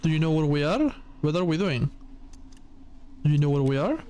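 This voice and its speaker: A man's voice: on the high side, very animated, fairly quick, dark tone, clear, resonant tone. A man voicing a puzzled, forgetful doll in a gothic visual novel, questioning and uncertain.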